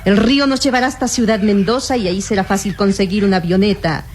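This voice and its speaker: An actress playing a cunning, coolly ruthless young impostor in a Latin American radio serial, theatrical and self-assured. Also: female voice, mid-range voice, steady tone, fast, a little mellow, a little hiss or hum, close to an everyday voice. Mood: scheming.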